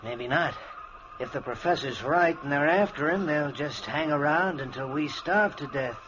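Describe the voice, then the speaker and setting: An actor playing a grim, ominous helper in an old-time radio drama, speaking emphatically and colloquially. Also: male voice, on the high side, moderate pace, natural voice. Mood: foreboding. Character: grim male helper stoking fears about insects. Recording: muffled noisy vintage radio recording.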